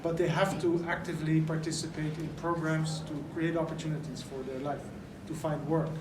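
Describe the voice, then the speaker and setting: A man speaks at a press event and lays out his points earnestly, one step at a time. His voice sits a little high for a man. The sound is roomy and noisy, and the mic is some distance from him. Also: flat delivery, a little fast. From Netherlands. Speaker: event organizer. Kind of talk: press conference talk. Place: large indoor venue. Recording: echoey room, mic far off, noisy.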